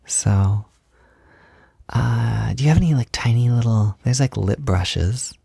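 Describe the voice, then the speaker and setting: A gentle, encouraging boyfriend figure, played by a man in an intimate audio roleplay. His soft voice is relaxed and mellow with a noticeable creak, often easing toward a whisper. He phrases things casually and soothingly, in a very dry, close recording. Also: mid-range voice, slow, no echo, exaggerated voice. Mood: tender, reassuring. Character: gentle, reassuring male partner doing a beginner's makeup. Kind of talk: intimate ASMR-style roleplay, softly spoken.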